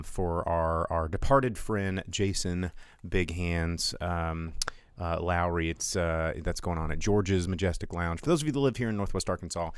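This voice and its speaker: Deep-voiced American podcast host, dry sound. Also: male voice, smooth, no echo. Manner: rambling, informal, self-interrupting. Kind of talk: casual live stream chat.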